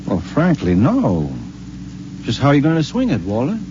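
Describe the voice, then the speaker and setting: An actor playing a wry, joking middle-aged husband who wisecracks and sounds incredulous, in 1950s radio acting style. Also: male voice, mid-range voice, wildly swooping pitch, normal speed. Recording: dull 1950s radio recording, faint hiss.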